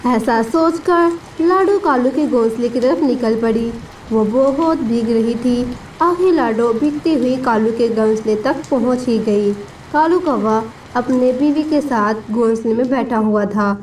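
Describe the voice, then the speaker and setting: A woman's voice: fairly high pitch, measured pace. A woman narrating a scripted story for children. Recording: distant voice with steady rain noise.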